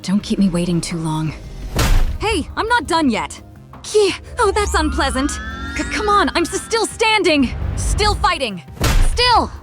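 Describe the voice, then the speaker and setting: A woman's voice: sits high, very animated, a little slow, over music. A woman voicing a stubborn, plucky young animal heroine in a comic dub, defiant and spirited. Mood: strained, defiant. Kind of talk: comic fan dub voice acting.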